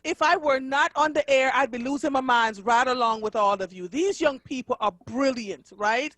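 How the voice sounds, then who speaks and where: A woman in her fifties from Jamaica hosting a live radio talk show, speaking in an expressive, emphatic way. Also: sits high, dry recording. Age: 50s. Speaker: radio host.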